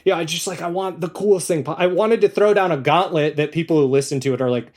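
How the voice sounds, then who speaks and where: A man's voice: on the high side, fast, a little mellow. Man chatting as an interview guest. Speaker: interview guest, audio drama creator. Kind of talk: casual interview conversation.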